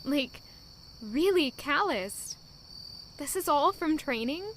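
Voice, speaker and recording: An actress playing a kind young maid in an audio drama, talking in an earnest, caring way. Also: female voice, sits high, expressive, takes it slowly, a bit bright, slight background noise, full character voice. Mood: gentle. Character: young woman maid, kind and warm-hearted.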